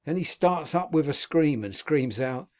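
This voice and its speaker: A man voicing a rough old male convict who tells his past in earthy dialect speech. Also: on the high side, animated, a little slow, a little mellow. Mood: grim recounting. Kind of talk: audiobook character reading.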